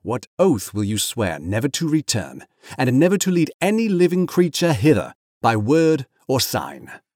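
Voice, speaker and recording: A man's voice: medium pitch, huge pitch swings, moderate pace, a little mellow, no echo, studio quality, pitched above the performer's own voice. A man voicing a stern, grave captain from a storybook, judicious and commanding, with formal archaic phrasing. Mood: measured judgement.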